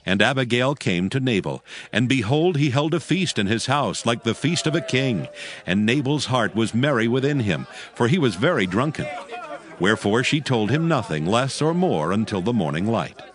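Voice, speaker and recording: A man narrating a dramatized audio Bible in a solemn, measured storytelling style. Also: medium pitch, very animated.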